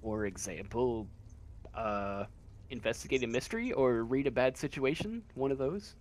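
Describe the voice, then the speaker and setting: A man narrating as game master of a tabletop game, improvising in a hesitant, halting way. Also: mid-range voice.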